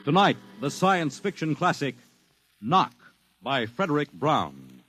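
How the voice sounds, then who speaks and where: A man's voice: a little high, very animated, slow pace. A man announcing and narrating a 1950s radio drama in a dramatic, portentous manner. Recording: vintage radio broadcast over loud music. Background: dramatic music.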